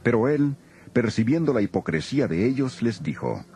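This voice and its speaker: A man narrating scripture from a script in a solemn, expressive way. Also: medium pitch, moderate pace, slight background noise.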